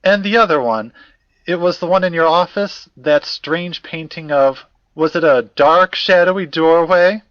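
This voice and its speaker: A man's voice: a little high, a little slow, no room sound, highs cut off, close to an everyday voice. A man playing a formal, fussy academic in an audio drama, precise and wordy, with old-fashioned politeness. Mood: formal, measured. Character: formal, fussy, courteous male academic investigator.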